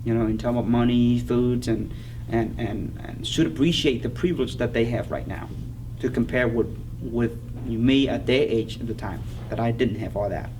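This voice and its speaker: A middle-aged man with a Vietnamese accent, speaking earnestly in an oral history interview, halting, with many fillers. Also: medium pitch. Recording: distant mic with clear background noise.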